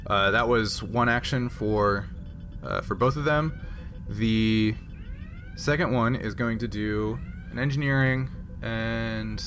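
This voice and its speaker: Guy running a tabletop game, slow halting delivery, thinking out loud, bit of background noise. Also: on the low side. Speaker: game master. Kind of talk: casual tabletop game narration.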